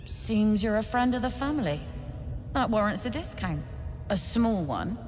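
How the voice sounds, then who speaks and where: A woman's voice: medium pitch, expressive, normal speed, darkish tone, lightly acted. Actress doing cool shrewd young trader lady from a video game, echoey hall, music faintly under. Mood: cool, dry.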